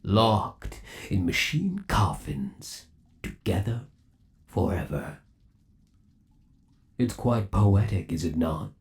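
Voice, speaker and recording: Guy doing a lowish voiced yandere robot bunny boyfriend, asmr rp, creepy sweet and clingy, really swoopy intonation. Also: sing-song, exaggerated voice. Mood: romantic, gleeful. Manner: slow, doting, theatrical cooing. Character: possessive undead animatronic rabbit boyfriend, lovesick and sinister. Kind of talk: ASMR roleplay acting.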